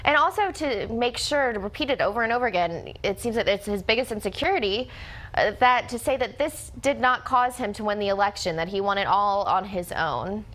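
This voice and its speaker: A woman's voice: medium pitch, fairly quick, fairly bright tone. A woman with an American accent giving conversational live news analysis as a reporter, explaining with frequent hesitations.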